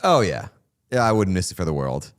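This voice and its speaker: A man's voice: low voice, a little fast. A man co-hosting a hockey podcast, talking in an opinionated, casual way with lots of fillers. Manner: animated, opinionated, frequent "like" fillers. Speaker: podcast co-host. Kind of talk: casual sports chat.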